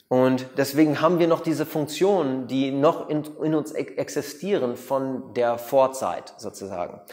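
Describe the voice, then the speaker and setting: A man's voice: mid-range voice, long reverb tail. Australian man speaking German hesitantly. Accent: English-speaking, Australian. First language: English. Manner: hesitant, many fillers and restarts, mixes in English words. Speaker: meditation teacher. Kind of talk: explanatory talk to camera. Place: bright room with plants, indoors. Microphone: lapel mic.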